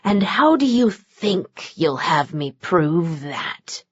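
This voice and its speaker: A woman voicing a cruel, taunting male demon in a hushed, whispery voice, drawing out his words slowly for an audiobook. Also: on the low side, sing-song, slightly rough, no room sound, voice pushed down, exaggerated voice. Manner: slow, drawn-out menace. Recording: dull lo-fi dry audio.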